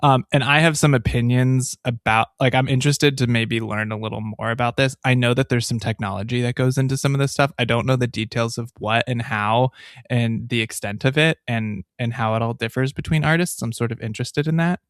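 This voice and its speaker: A man's voice: mid-range voice, steady tone, a little fast, clear, resonant tone, no room sound, studio quality. A man co-hosting a podcast, rambling in casual chat with lots of fillers. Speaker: podcast co-host.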